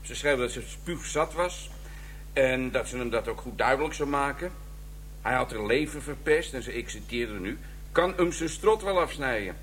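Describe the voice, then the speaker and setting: A man's voice: medium pitch, animated, moderate pace, a bit bright, slight background noise, close to an everyday voice. A man playing a streetwise police detective in a Dutch radio crime drama, reporting in a blunt, colloquial way. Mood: blunt, informative.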